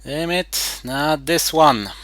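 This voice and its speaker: A man playing a strategy game and thinking aloud in terse, halting remarks, muttering to himself as he weighs his moves. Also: a little high, a bit bright, no echo. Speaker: board game player.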